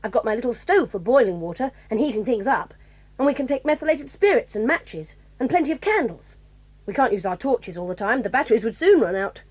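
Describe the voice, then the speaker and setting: A woman's voice: fairly high pitch, big pitch swings, fairly quick, darkish tone, slightly rough. An actress playing a bold, stubborn tomboy girl who speaks confidently and decisively.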